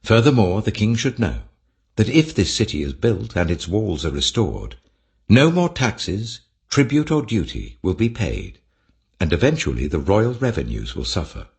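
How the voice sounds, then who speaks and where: Ancient court official for scripture audiobook, read by a man: British, deep, formal, insistent, dramatically inflected. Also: big pitch swings, moderate pace, gravelly, a little mellow. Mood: urgent warning. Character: formal Persian officials earnestly warning their king.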